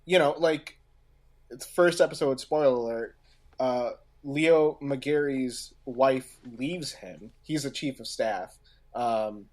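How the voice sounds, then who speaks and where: A man co-hosting a casual podcast discussion, speaking haltingly and often pausing in the middle of a phrase. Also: medium pitch, a little mellow. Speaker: podcast co-host.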